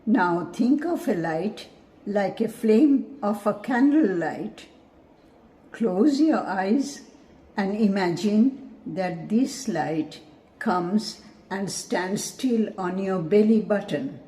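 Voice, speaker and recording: A woman leading a scripted guided meditation in a measured, soothing, instructive manner. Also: mid-range voice, lively intonation, dark tone.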